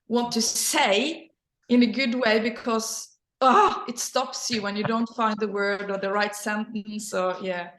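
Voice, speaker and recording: A middle-aged woman whose native language is Norwegian, speaking English with a Norwegian accent in casual personal storytelling, sometimes halting as she searches for words. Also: fairly high pitch, fairly even intonation, fairly bright tone. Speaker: interview guest, company leader. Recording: roomy echo.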